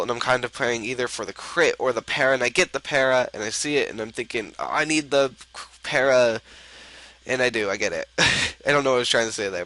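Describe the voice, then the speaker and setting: A man's voice: lowish voice, sharp tone, low-quality recording. Stuffy-nosed young man rambling over gameplay. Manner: rambling, filler-heavy, laid-back. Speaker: gaming video host. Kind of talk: casual game commentary.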